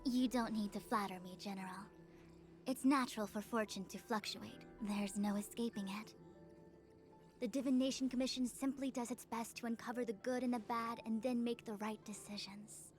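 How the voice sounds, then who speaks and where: A woman's voice: a little high, steady tone, a little mellow, soft background music, natural voice. Woman voicing a stern weary seer. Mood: composed. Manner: measured, formal, dryly exasperated. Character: calm stern female seer, formal and weary. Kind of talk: game cutscene voice acting.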